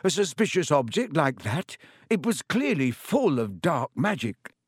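A man's voice: a little high, very animated, a little slow, slightly rough, no room sound, studio quality, pitched above the performer's own voice, heavily acted. A man voicing a flustered middle-aged father who sounds stunned and scolding.

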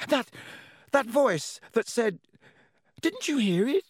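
A man's voice: a little high, extremely slow, smooth, no room sound, clean studio sound, voice pushed up. An anxious, weary schoolboy in a storybook, voiced by a man. He sounds earnest and somewhat hesitant. The delivery is youthful in temper and plainly uneasy. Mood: frantic. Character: anxious, weary schoolboy, polite but urgent.

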